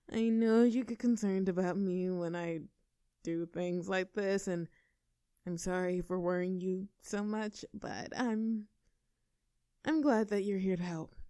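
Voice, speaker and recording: A woman's voice: medium pitch, dark tone, clear, resonant tone, no echo, studio quality. A woman voicing a tough, brash young girlfriend in a scripted audio roleplay. She talks casually and cockily, swears freely and laughs between lines. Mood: tender.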